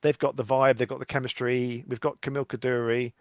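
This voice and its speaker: A man chats casually as a guest on a fan podcast. His voice sits at an average pitch and is recorded very dry. He is informal and talkative, with a self-deprecating streak. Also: little pitch movement, fast talker, no room sound. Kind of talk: casual chat about sci-fi audio dramas.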